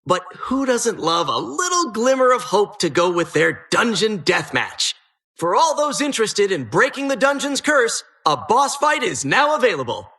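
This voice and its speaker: A gleefully sinister female dungeon host from a video game. The voice is bright and forceful, leaping up and down as it teases. Every line has a theatrical, mock-welcoming polish with a sardonic edge. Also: medium pitch. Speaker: game announcer.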